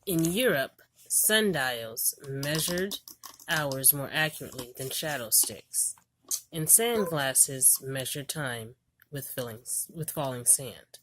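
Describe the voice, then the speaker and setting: A woman reading an educational children's book aloud in a deliberate, storybook manner. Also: very deep voice, animated, a bit bright, slight background noise, low-quality recording.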